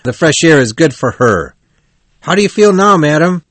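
Guy doing a polite trusting gent in a storybook, emphatic, pitch jumps around a lot. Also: sing-song, normal speed, dry recording. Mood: kindly. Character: courteous, trusting gentleman motorist, easily alarmed.

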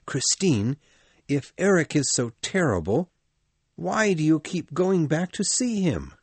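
Man voicing a young jealous suitor, earnest, raised pitch, dry close sound. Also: very animated, slow, breathy edge, no room sound, full character voice. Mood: pleading. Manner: pleading questions, earnest. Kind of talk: audiobook dialogue acting.

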